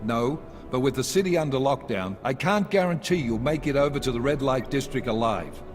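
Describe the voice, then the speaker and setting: An actor playing a blunt, exasperated police unit commander in a video game, with a stern manner. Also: male voice, medium pitch, lively intonation, fairly quick, in a large hall, close to an everyday voice. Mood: resigned, stern. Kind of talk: cinematic video game acting. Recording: game soundtrack music behind.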